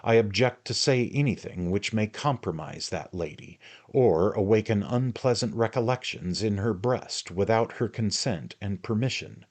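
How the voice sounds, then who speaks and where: A man reading aloud and voicing a kindly, dignified elderly gentleman who speaks courteously but firmly. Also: on the low side, pitched above the performer's own voice. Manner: courteous, firm and measured.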